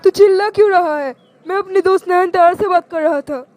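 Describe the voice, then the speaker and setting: A woman voicing an excitable, smitten young male college student with a loud, pleading manner in a cartoon story. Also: very high voice, fairly quick, dry recording, voice pushed up. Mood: indignant.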